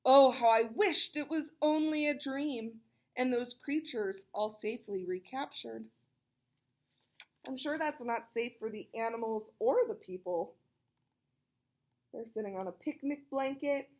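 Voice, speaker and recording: A woman reading a children's picture book aloud, expressive and chatty, with casual explanatory asides for young listeners. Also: fairly high pitch, unhurried. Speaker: read-aloud storyteller.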